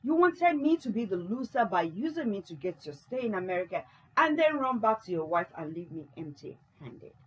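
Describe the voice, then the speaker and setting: Furious betrayed wife, read aloud by a woman, husky lively voice, slow threats. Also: medium pitch, low-quality recording. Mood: angry. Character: scorned wife, furious and vengeful.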